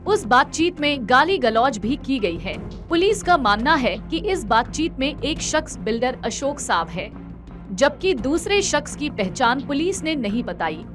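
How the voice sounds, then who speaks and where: Animated, high-pitched woman reading news. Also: unhurried, music in the background. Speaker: news reporter. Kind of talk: scripted news narration.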